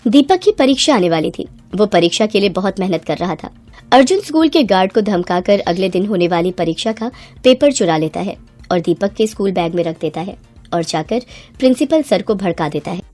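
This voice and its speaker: A woman's voice: mid-range voice, expressive, fairly quick, a little mellow, soft background music. A woman reading a scripted moral story in a dramatic narrator's style.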